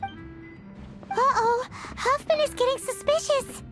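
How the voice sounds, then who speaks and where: A woman voicing a small, cheery, childlike companion sprite in a video game, with a squeaky voice. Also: steady tone, slow. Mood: worried. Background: loud game music.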